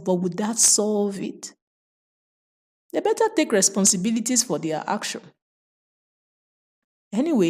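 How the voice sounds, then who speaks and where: A woman's voice: medium pitch, dark tone, slightly rough. A woman telling a folktale as host, emphatic and moralizing, repeating phrases for dramatic effect. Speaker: storyteller and host.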